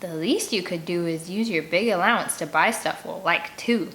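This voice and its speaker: A woman's voice: lowish voice, normal speed, fairly bright tone, slight background noise. A woman voicing a snarky young boy scout who needles his friends with sarcasm in an audiobook.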